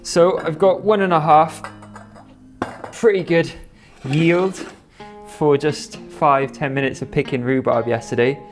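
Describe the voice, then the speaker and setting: A man's voice: on the high side, steady tone, a little slow, soft background music. A Welsh man chatting casually on camera while he works, enthusiastic and a little rambling. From Wales. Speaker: vlogger and shop owner.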